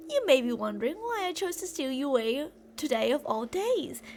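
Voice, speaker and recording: A woman's voice: high-pitched, big pitch swings, moderate pace, darkish tone, a little hiss or hum. A woman playing an obsessive, delusional female kidnapper who rambles theatrically and teasingly to a captive in an ASMR roleplay. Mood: matter-of-fact rambling.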